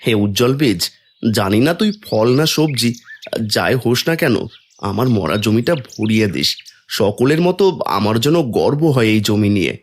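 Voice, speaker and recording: A man's voice: lowish voice, sing-song, normal speed. A man voicing a poor, kind-hearted village farmer, plaintive and earnest, in a cartoon folk tale. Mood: earnest, hopeful. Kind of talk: Bengali cartoon fairy tale acting.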